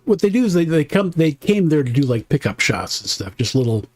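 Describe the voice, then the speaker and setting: A man's voice: a little high, big pitch swings, a little fast, darkish tone, no room sound. A man in a casual chat who mostly chimes in with brief, easygoing remarks.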